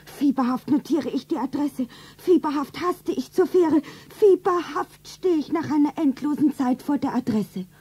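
Woman playing anxious young heroine in a radio melodrama, breathy, very soft mellow tone, highish voice. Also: moderate pace, lightly acted. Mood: urgent. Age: young adult. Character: anxious, self-admiring young woman narrating her melodrama. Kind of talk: stylized radio drama narration.

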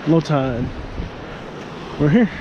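A man's voice: a little high, expressive, takes it slowly, dull audio. A man chatting casually in a slangy, grumbling way as he films himself fishing. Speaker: fishing vlogger. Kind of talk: casual chat while fishing. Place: outdoors in a canoe and on shore at a coastal pass. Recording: loud wind, water and boat noise.

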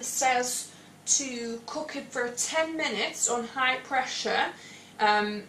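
A woman's voice: fairly high pitch, fairly bright tone. A woman in her thirties giving a casual cooking demonstration as host. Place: indoor home kitchen. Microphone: clipped lapel microphone. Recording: echoey room, slightly distant, faint noise.

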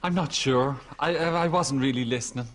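A man's voice: mid-range voice, a little fast, a little hiss or hum, lightly acted. A man playing a gullible, gushing grown-up son in a sitcom, dramatic in manner, with an Irish accent. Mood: delighted. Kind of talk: sitcom comic acting.

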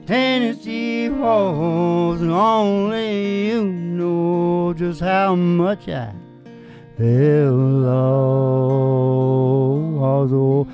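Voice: mid-range voice, fairly even intonation, very slow. A performer hosting a live acoustic country music show, with guitar in a lo-fi recording. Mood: singing. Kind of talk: casual joking stage banter between acoustic country songs.

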